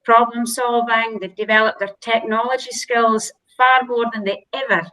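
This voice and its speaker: A woman's voice: on the high side, steady tone, moderate pace, bright, forceful tone, low-quality recording. A woman from Shetland with a Shetland accent, a school leader speaking earnestly on a video call with some hesitant fillers. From Shetland, Scotland.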